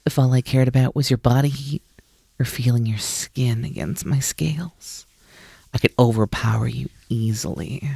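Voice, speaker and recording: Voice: mid-range voice, normal speed, gravelly, dark tone, breathy edge, no room sound. A performer in an ASMR roleplay voicing a mischievous, teasing naga snake-demon roommate who coaxes playfully and likes to be in charge. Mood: smug, teasing.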